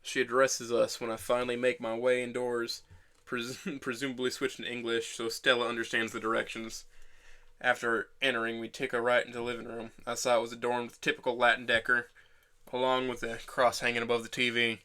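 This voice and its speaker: A man voicing an injured, self-deprecating teenage boy who narrates his own story in a measured, wry, deadpan way for an audio drama. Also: mid-range voice. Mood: descriptive. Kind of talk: first-person scripted narration.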